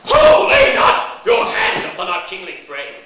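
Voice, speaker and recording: A man's voice: high voice, wildly swooping pitch, unhurried, bright, forceful tone, shouting, some chatter behind, exaggerated voice. A man in a staged play reading playing a vengeful royal son who declaims in mock-archaic, pompous pronouncements. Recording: distant, echoey stage recording, faint noise.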